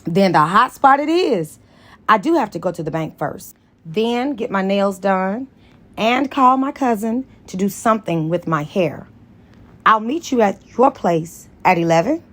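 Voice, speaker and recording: A woman voicing a confident, fun-loving young female teacher in an audiobook, in a lively, assertive way. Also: medium pitch, measured pace, pitched above the performer's own voice, lightly acted. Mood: playful. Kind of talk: dramatized audiobook dialogue.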